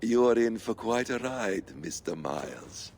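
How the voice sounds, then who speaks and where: Creaky, calculating ancient man, slow and low. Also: sing-song, a little mellow, slight background noise. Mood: superior, assured. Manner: measured, formal, deliberate. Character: calm, calculating ancient man, quietly superior and courteous. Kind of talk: dramatic video game acting.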